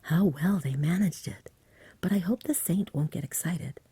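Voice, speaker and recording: A woman voicing an eager, knowing young boy from a storybook in a breathy whisper. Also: lowish voice, moderate pace, mellow, dry recording. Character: excitable, shrewd young boy watching a staged fight. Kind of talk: whispered storybook character reading.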